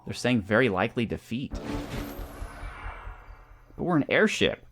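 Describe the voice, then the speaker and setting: A man's voice: medium pitch, big pitch swings, measured pace, a bit bright, soft background music. A man giving casual gameplay commentary as a let's play host, thinking aloud through his moves.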